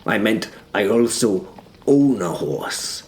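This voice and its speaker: Man playing low, slow, gravelly knight William. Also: big pitch swings, heavily acted. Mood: earnest banter. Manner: measured, solemn, hushed storytelling with deliberate pauses. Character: young male knight William, reflective, brave yet frightened. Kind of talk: scripted first-person dramatic narration. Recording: clear background noise and ambience.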